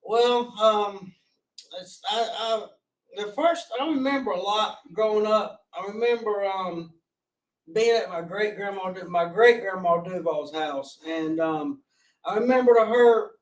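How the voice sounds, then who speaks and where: A forty-year-old man from North Carolina with a loud voice, telling his life story as a podcast guest in an earnest, rambling way with restarts. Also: high voice, measured pace, recorded from a distance. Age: 40s. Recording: roomy, off-mic sound.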